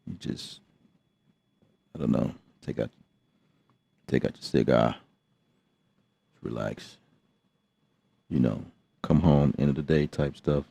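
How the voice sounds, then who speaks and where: Laid-back, gravelly low-voiced man. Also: slow, pauses often, mellow, no room sound. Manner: laid-back, rambling, many fillers and restarts. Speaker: beatmaker hosting a live production session. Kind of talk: casual live-stream chat. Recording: dull lo-fi sound.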